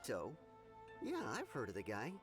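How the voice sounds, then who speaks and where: Laid-back game character, wry and hedging, very lively pitch swings, soft music under it. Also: male voice, moderate pace. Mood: wry, hesitant. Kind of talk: English video game voice acting.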